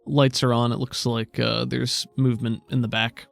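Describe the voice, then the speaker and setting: Creaky-voiced man narrating a tabletop game. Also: mid-range voice, level tone, faint music behind. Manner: measured, descriptive, pausing between phrases. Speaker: game master.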